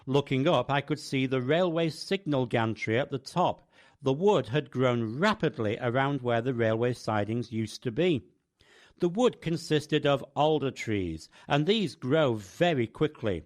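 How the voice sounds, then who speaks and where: A man's voice: mid-range voice, expressive, measured pace. A man narrating a scripted first-person radio piece as its host.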